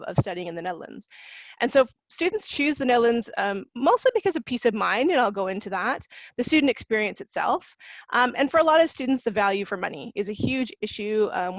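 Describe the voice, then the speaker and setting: A woman's voice: mid-range voice, no room sound, dull audio. A Canadian woman presenting on a video call, conversational, with frequent fillers and restarts. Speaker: university recruitment officer. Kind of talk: webinar presentation.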